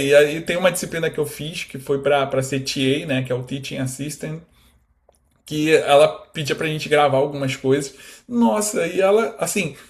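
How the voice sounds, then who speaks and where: Animated Brazilian man in his thirties. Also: fairly high pitch. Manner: animated, quotes imagined speech, many fillers. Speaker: guest. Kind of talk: casual interview conversation.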